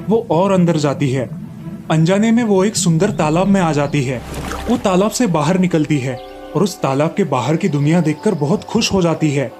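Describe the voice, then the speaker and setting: A man's voice: on the high side, a little mellow. A man storyteller reading scripted narration for a children's moral tale.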